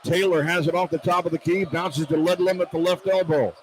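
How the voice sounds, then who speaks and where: A man with a fairly high voice works as a radio play-by-play announcer, calling basketball live from courtside. Loud arena noise sits behind him throughout. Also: steady tone, a little fast.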